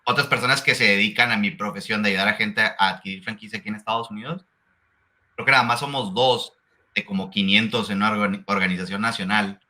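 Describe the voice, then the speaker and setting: A man working as a franchise consultant, hosting a casual interview and talking with frequent restarts that turn into rapid rhetorical questions. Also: on the low side, fairly even intonation, bright, forceful tone.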